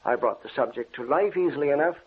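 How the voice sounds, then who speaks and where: An actor playing a courteous, secretive scientist with a deliberate, expressive delivery in an old-time radio drama. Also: male voice, medium pitch, breathy edge. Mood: ominous. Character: courteous, secretive male scientist with a dark experiment. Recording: noisy, tinny old radio recording.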